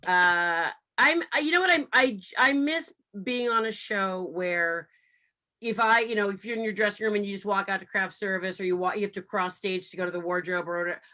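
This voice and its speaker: A woman's voice: mid-range voice, a little fast, pushed and bright. A woman reminiscing casually as a cast member on a video call.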